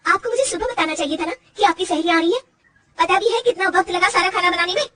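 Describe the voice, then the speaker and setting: A woman's voice: high-pitched, lively intonation, quick pace, soft background music, voice pushed up. A woman voicing a young unhappy wife who dreams of painting, answering sharply and breaking into tears. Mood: angry. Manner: sulky, sharp, tearful when pushed.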